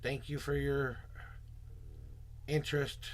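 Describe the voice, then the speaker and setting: A hoarse man hosting a casual tarot livestream, muttering asides to himself and greeting viewers in a loose, chatty way. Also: mid-range voice, level tone. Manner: upbeat, drawn-out greetings to viewers. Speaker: tarot reader and livestream host.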